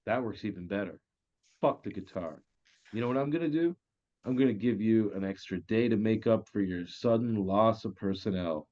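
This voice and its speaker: A man's voice: lowish voice, moderate pace, a little mellow, lightly acted. A man playing a cocky, crude crime boss with flippant, theatrical menace in a comedy table read. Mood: smug menace. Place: virtual table read over video call. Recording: dull, lo-fi online call.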